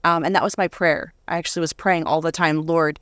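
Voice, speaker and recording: A woman's voice: sits low, steady tone, fairly quick, a bit bright, dry recording. A woman author and speaker talking casually in a conversational interview, chatty and giggly, in a dry close-sounding recording.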